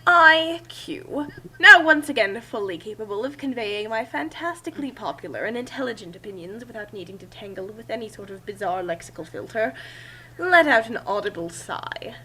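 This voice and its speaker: A woman puts on an omnipotent trickster narrating a comic tale with haughty grandeur. The voice sits fairly high and is theatrical and condescending. Steady noise and a hum run behind it. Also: normal speed, poor audio. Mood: grandly scornful. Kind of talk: dramatic reading of comic fan fiction. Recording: clear background noise and hum.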